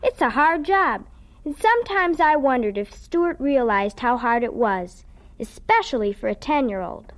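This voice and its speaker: A woman's voice: high voice, expressive, moderate pace. A woman playing an eager, dreamy ten-year-old farm girl in a radio drama, with a childlike, folksy way of telling her story. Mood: wistful storytelling. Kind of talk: radio drama first-person narration and dialogue.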